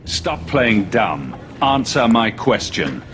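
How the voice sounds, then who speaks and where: An actor playing a determined adventurer in a video game, questioning people in a direct, stern way. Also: male voice, medium pitch, very animated, takes it slowly, fairly bright tone, exaggerated voice. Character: firm, determined male adventurer investigating missing recruits. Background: game music.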